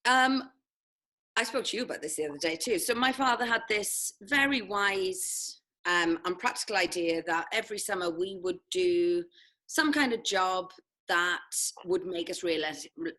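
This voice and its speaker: Chatty Welsh woman, bright voice. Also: fairly low pitch, moderate pace. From South Wales. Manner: chatty, laughs often, self-deprecating. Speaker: head of sixth form, interview guest. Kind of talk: casual interview chat.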